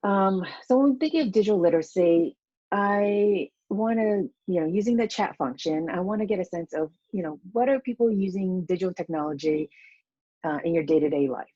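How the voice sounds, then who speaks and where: A woman's voice: mid-range voice, expressive, a little fast, dark tone, no echo, highs cut off. A woman in her thirties, originally from Vietnam, giving a conference presentation over a video call in a casual way, with many fillers like "um" and "you know". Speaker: teacher educator presenting. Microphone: computer mic on a video call.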